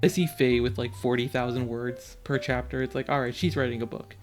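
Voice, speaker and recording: A man's voice: mid-range voice, fairly even intonation, brisk, creaky, soft background music. A man from the United States hosting a casual podcast chat, laughing often and filling his talk with "like". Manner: laughs often, heavy use of "like". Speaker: podcast host.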